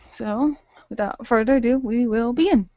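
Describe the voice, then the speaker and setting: A woman narrating an improvised radio play as game master, with a halting delivery. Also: on the high side, dark tone, dry recording. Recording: dull lo-fi voice recording.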